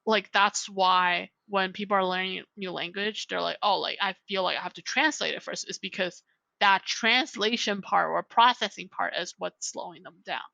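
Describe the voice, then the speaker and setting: Chatty young woman, gravelly and bright. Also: medium pitch, moderate pace, no room sound, lo-fi sound. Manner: rambling, heavy use of "like". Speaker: podcast guest. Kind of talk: casual chat.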